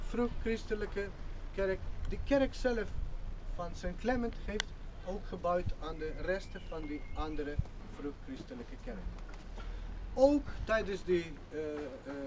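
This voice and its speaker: Man guiding a tour in halting Dutch. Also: sits high. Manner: halting non-native Dutch, short fragmented phrases with many fillers. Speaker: local tour guide. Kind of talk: outdoor guided tour explanation. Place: outdoors at a church site beside an information board. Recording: outdoor camcorder audio with clear background noise.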